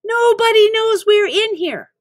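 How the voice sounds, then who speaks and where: Woman voicing an exasperated American schoolboy, high and emphatic. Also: expressive, unhurried, dry recording, clean studio sound. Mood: angry, exasperated. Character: frustrated boy narrator, schoolkid trapped in a video game.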